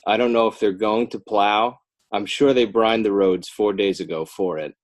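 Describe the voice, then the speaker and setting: An American man chatting casually as a podcast guest, often rambling, halting and correcting himself as he goes. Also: fairly low pitch, fairly even intonation. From Northern New Jersey, United States. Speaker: podcast guest, children's book author. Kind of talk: casual podcast interview chat.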